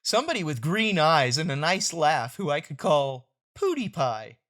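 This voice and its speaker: A man voicing a laid-back, teasing tiger companion from a comic strip in a musing, unhurried way. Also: on the high side, very animated, a bit bright, voice pushed down. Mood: dreamy.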